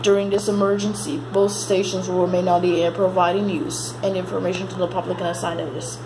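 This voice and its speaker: A woman reads a mock emergency broadcast as an amateur announcer, halting and repeating words as she stumbles through the script. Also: lowish voice, level tone. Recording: noisy amateur home recording.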